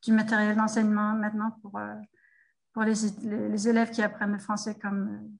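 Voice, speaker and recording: Woman, flat, hesitant speech. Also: mid-range voice, a little fast, gravelly, rough recording. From Denmark. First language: Danish. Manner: hesitant, many fillers and restarts. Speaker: guest. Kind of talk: casual interview over a remote link.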